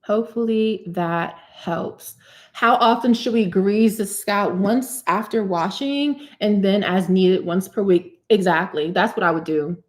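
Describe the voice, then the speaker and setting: A woman in her thirties hosting a live hair care Q&A, chatty and animated, calling viewers honey and sis. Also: mid-range voice, measured pace. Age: 30s. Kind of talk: live stream Q&A, casual chat.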